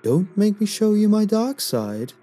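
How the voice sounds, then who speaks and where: A man's voice: sits high, very animated, warm tone, faint music behind, pitched above the performer's own voice, full character voice. A man voicing a talking moon that speaks in someone's head, smug and fond of bad puns. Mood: commanding. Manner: smug, drawn-out joking delivery.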